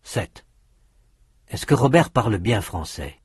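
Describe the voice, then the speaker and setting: Husky man reading slow drill questions. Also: on the low side, dry recording, studio quality. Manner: slow questioning prompts with lively intonation. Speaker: language course speaker. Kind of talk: scripted drill prompts.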